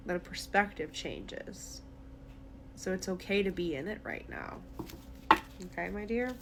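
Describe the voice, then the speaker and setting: A woman's voice: fairly low pitch, animated, unhurried, gravelly, background noise. A woman tarot reader speaking directly to the listener in a conversational, reassuring, therapy-minded way during an intuitive reading.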